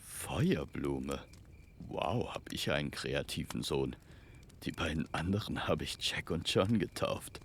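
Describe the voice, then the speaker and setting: A man acts out a hunter father in a storybook audio play. He is low and gravelly, speaking with measured, dry authority and an indulgent streak. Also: lively intonation, a little hiss or hum, exaggerated voice. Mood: dry, bemused.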